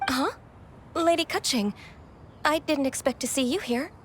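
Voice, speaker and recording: A woman's voice: sits high, measured pace, slight background noise. A woman playing a shy, earnest young secretary in a video game, with a polite, hesitant manner. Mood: surprised, polite. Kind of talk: video game cutscene acting.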